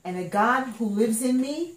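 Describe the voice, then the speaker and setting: An earnest woman speaks softly and from the heart. Her voice comes from some distance away from the mic, in a room with a roomy echo. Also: fairly high pitch, lively intonation, moderate pace. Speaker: client.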